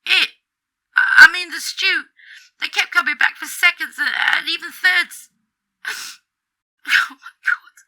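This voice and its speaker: A woman voicing a distraught young female school worker in an audio horror story, halting, stammering and tearfully confiding. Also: very high-pitched, steady tone, pushed and bright, pitched above the performer's own voice, heavily acted. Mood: horrified confiding. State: tearful, upset.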